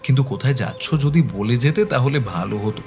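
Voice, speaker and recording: A man narrating a detective story as the sleuth's loyal, concerned companion, in a measured dramatic style. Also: mid-range voice, animated, mellow, natural voice. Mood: concerned, soothing. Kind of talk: scripted suspense story narration. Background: background music.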